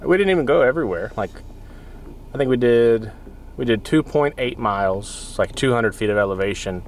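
A man's voice: medium pitch, lively intonation, moderate pace, slight background noise. A man around his thirties chatting casually to the camera as a travel vlogger, sitting inside a car.

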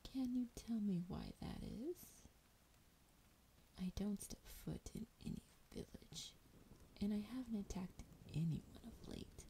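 A woman voicing a sultry, menacing female dragon in a breathy, whispered fantasy roleplay, teasing and drawing out her words. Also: medium pitch, huge pitch swings, dark tone, exaggerated voice, rough recording. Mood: curious, mildly annoyed. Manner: slow, teasing, drawn-out delivery.

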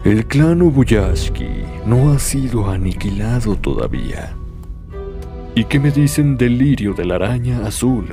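A man's voice: medium pitch, very animated, measured pace, music in the background. A man playing a cold, imperious demon lord in a Latin American Spanish anime fan dub, speaking with disdain. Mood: angry.

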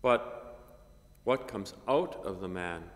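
An older man, a priest, reading a gospel and preaching a homily at a lectern microphone, measured and halting with many fillers. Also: low voice, expressive. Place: cathedral chapel, at a lectern. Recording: long reverb of a chapel.

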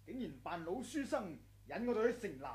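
A hot-headed treasure hunter in a 1980s Hong Kong Cantonese radio play. His voice is very high with big swings, and he sounds defiant and grasping throughout. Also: big pitch swings, brisk, darkish tone, slightly rough, heavily acted. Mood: accusing. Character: greedy, hot-headed male martial arts fighter. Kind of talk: 1980s radio drama acting.

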